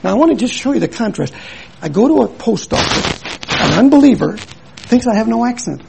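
Gravelly older man preaching earnestly. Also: very high-pitched, very animated, brisk, background noise. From Milwaukee, USA. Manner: earnest, emphatic, repetitive preaching with anecdotes. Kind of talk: sermon.

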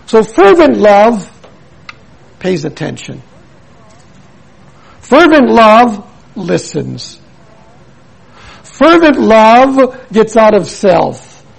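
An older man delivers a sermon with a gravelly, husky voice. He is earnest and emphatic and hammers his points home through repetition and anecdote. His speech rises high and swings widely in pitch. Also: big pitch swings, drawn-out words, long pauses, rough recording. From Milwaukee, USA. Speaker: preacher.

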